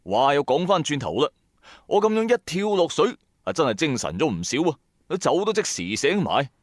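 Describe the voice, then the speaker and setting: A man with a Hong Kong Cantonese accent telling a first-person story in an expressive, colloquial way, with chatty asides to the listener. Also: a little high, normal speed, no room sound, studio quality. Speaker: storyteller, narrator. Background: background music.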